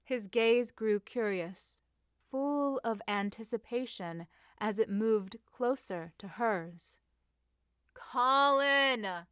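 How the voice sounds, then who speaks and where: A woman reads a romantic story aloud as an expressive narrator. Her pace is slow and measured, with a medium pitch. The recording is dry, with very little room sound. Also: clean tone, no room sound. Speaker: fan fiction reader.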